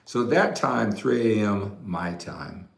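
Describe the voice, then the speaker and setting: A mature American man hosts a casual livestream as a fitness coach. His voice is low and heavily creaky, with a roomy echo around it. He rambles conversationally and often checks in with a quick "right?". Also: lively intonation. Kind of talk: casual livestream chat to viewers. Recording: roomy, echoey room sound.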